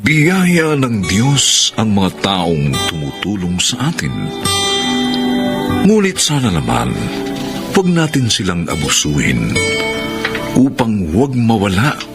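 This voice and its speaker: A man's voice: on the low side, wildly swooping pitch, takes it slowly, pauses often. A man with a Filipino accent hosting and narrating a radio drama in a solemn, theatrical scripted style. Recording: loud music bed under narration.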